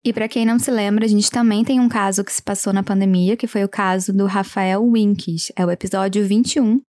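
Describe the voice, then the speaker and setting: A Brazilian woman speaks casually as the co-host of a true crime podcast. Her pitch sits in the middle for a woman. The recording is dry and free of echo. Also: fairly even intonation, fairly quick, a little mellow.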